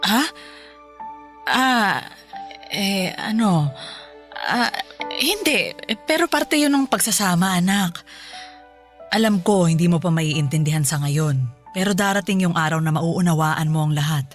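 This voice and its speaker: A woman's voice: lowish voice, expressive, unhurried, pauses often, soft background music. Patient, placating Filipino mother. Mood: gentle, reassuring. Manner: pleading, placating. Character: patient, forgiving, long-suffering Filipino wife and mother. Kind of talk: radio drama acting.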